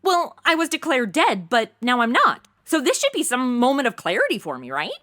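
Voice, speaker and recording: A woman's voice: high-pitched, wildly swooping pitch, a little fast, sharp tone, full character voice. A woman playing a brash, ditzy, sarcastic modern young woman in a comedic audio drama, with slangy, theatrical delivery. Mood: whiny, musing.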